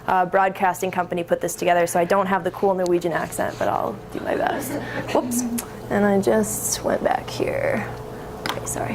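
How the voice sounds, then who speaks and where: A woman talks through a presentation to an audience at a middling pitch. She explains in a friendly way and corrects herself as she goes. Faint background noise sits under her voice. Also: vocal fry. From Minnesota. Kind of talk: public talk.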